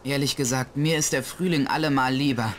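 A man's voice: medium pitch, fairly even intonation, brisk, very noisy, lightly acted. A man playing a frank, inquisitive teenage boy detective in an adventure game. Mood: frank, curious. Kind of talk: scripted adventure game dialogue.

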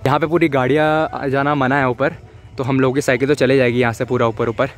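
A man's voice: medium pitch, flat delivery, fast, a little hiss or hum. A young man, vlogging as he cycles outdoors on mountain roads, chatting to viewers and giving tips, mixing in English words. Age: young adult. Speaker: cycling vlogger. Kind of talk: casual vlog narration. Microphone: phone or action camera at arm's length.

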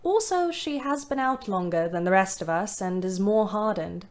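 Actress as weary, wry wartime woman in her twenties, mid-pitched, measured literary diary narration for audio drama. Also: animated, natural voice. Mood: fond, wry. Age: 20s. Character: weary, wry young British woman ambulance driver telling her diary.

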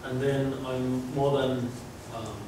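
A man's voice: mid-range voice, little pitch movement, takes it slowly. Finnish-accented man lecturing in an echoey hall. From Finland. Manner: chatty, conversational, restarts phrases. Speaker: guest lecturer. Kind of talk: informal lecture to students. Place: large lecture hall, indoors. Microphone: far camera, no mic seen. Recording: echoey hall, distant mic, background noise.

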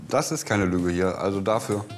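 A man's voice: sits low, moderate pace. A man talking casually and plainly as an apprentice cook. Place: large indoor kitchen studio. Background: background music.

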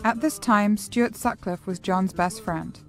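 Woman narrator, documentary read, mid pitch, faint music under. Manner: measured, even storytelling.

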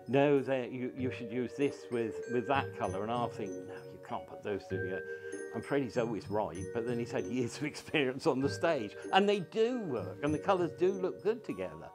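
A man in his sixties talking casually in an interview as a costume designer. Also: mid-range voice. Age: 60s. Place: indoor costume room. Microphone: lapel mic. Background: music.

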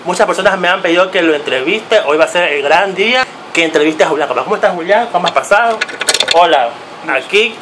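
A man's voice: high voice, expressive, a little fast, noisy background. A man hosting a casual celebrity interview in a small room, eager and chatty with many short replies. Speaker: interviewer and host. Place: small indoor room, seated close beside guest.

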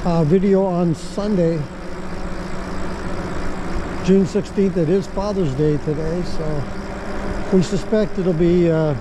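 A man vlogging casually while riding an e-bike outdoors, talking in a relaxed way with plenty of fillers. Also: high-pitched, slow, pauses often. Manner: relaxed, chatty, many fillers. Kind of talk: casual ride commentary. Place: outdoors on a moving e-bike by a busy road. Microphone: helmet or bike-mounted camera mic. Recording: loud wind and traffic noise. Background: road traffic.

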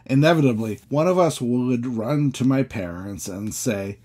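Husky-voiced male priest preaching. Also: medium pitch, mellow. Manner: measured, questioning preaching with rising openings and falling endings. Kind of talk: sermon.

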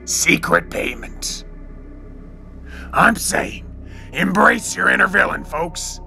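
A theatrical, menacing crime boss in an audio drama. The voice is very forceful and gravelly, drawing words out slowly and taunting as it goes. Music plays faintly behind. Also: male voice, high-pitched, very animated, heavily acted. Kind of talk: comic book audio drama acting.

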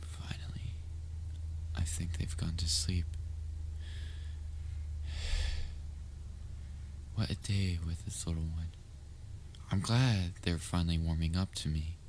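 A man's voice: low-pitched, fairly even intonation, slow pace, long pauses, a little mellow, a little hiss or hum. A man playing a patient, sometimes exasperated but caring young babysitter in a roleplay audio drama, talking in a casual, coaxing way. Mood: hushed, relieved. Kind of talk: roleplay audio drama, speaking directly to listener.

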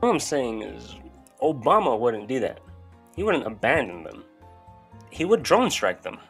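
A man's voice: mid-range voice, sing-song, unhurried, creaky, a bit bright, husky. A young man giving casual, sweary video commentary as a YouTube host. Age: young adult. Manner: irreverent, sweary. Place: small bedroom, indoors. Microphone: close desk microphone. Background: faint music.

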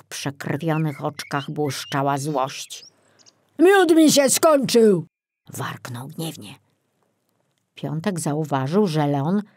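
Slow-paced woman narrating a storybook. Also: low-pitched, a little mellow. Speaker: audiobook narrator. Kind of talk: scripted children's story narration.

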